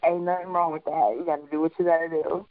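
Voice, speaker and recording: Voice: medium pitch, level tone, fast talker, no echo, narrow, tinny audio. An interviewer chatting casually, asking questions in a playful, teasing way and laughing a lot.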